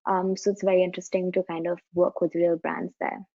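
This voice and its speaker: A graphic branding student from Mumbai in India speaks in a somewhat low voice with some hesitant ums. The recording is very dry and dull, like a home video call. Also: female voice, flat delivery, fairly quick, dark tone, dry recording. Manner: hesitant, with fillers. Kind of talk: webinar self-introduction.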